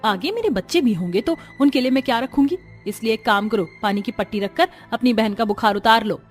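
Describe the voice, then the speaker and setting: A woman's voice: on the high side, fairly quick, faint music behind, lightly acted. A woman playing a mean, stingy young sister-in-law who taunts and scolds in a cartoon moral story. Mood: scornful. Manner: taunting, scornful.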